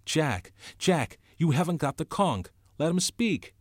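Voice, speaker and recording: A man's voice: fairly low pitch, very animated, slow pace, a bit bright, no echo, clean studio sound, heavily acted. A man voicing an earnest boy leader in a storybook, urgently pleading for order with authority. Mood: urgent protest.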